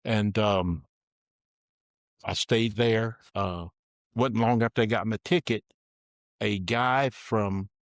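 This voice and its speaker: An older man being interviewed, slowly and plainly telling the story of his working life and dropping his voice for asides. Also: on the low side, fairly even intonation, no echo, studio quality. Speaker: interviewee recounting his career. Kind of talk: slow, deliberate personal storytelling.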